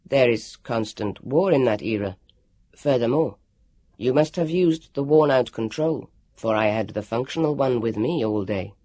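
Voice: mid-range voice, expressive, normal speed, warm tone, no room sound, pitched below the performer's own voice, close to an everyday voice. Narrator doing a stiff, solemn formal man. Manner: stiff and precise, no contractions.

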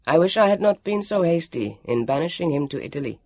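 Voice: mid-range voice, lively intonation, a little fast, darkish tone, no echo. The narrator voicing a formal, solemn grown man who speaks stiffly and precisely.

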